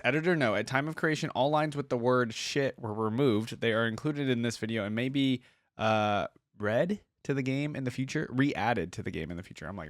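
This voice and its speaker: A man's voice: medium pitch, no room sound. A man hosting a reaction video with casual, conversational commentary.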